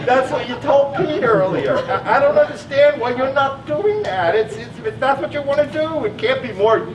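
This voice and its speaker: Man playing a high-pitched, pedantic chatterbox on stage. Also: expressive, moderate pace, a crowd in the background. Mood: insistent, probing. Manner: pedantic, argumentative, insistent. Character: talkative needling know-it-all man with psychiatric illness. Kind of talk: live one-act stage play acting. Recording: echoey stage from far off, noisy audience.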